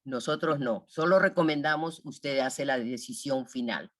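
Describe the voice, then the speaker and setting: A man's voice: mid-range voice, normal speed, a bit bright, no echo, studio quality. A man acting as an interpreter at a school question session, relaying explanations to parents.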